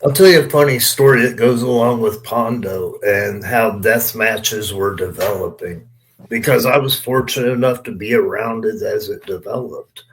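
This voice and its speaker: A man's voice: fairly low pitch, normal speed, a little hiss or hum, poor audio. Salty man swapping old stories. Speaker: former wrestler on a podcast panel. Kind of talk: casual storytelling chat.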